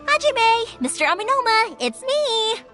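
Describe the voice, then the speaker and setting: A woman voicing a bubbly, chatty young fireworks maker in a video game, excitable and informal. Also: very high voice, a little slow, faint music behind, exaggerated voice. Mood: upbeat, pleading.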